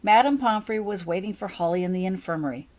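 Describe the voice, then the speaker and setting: A woman's voice: medium pitch, fast talker, darkish tone. A woman narrating a story as its author, in an audiobook reading style.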